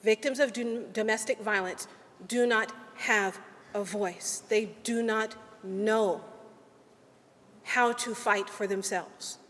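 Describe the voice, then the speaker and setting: A woman's voice: mid-range voice, a bit bright. Earnest woman speaking in a hall. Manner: earnest, deliberate, with measured pauses. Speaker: legal aid attorney. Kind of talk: prepared ceremonial speech. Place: large hall at a ceremony.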